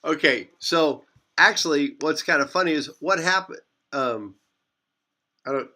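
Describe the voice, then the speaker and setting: A man's voice: fairly high pitch, unhurried, bright, forceful tone. A man teaching a physics class, rambling and correcting himself as he talks. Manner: rambling, self-correcting, repeats phrases. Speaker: physics instructor. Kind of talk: classroom lecture.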